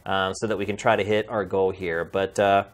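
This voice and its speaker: A man's voice: sits low, level tone, fairly quick. A man hosting a games podcast in a studio, talking in a heartfelt, pleading, conversational way. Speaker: podcast host and games journalist. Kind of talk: earnest podcast sign-off.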